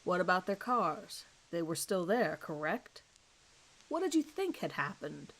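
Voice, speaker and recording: A woman's voice: on the low side, very animated, measured pace, fairly bright tone, exaggerated voice, poor audio. Horror drama interrogator: hard-nosed male detective voiced by a woman, blunt, pressing, sardonic. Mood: probing. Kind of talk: audio horror drama reading.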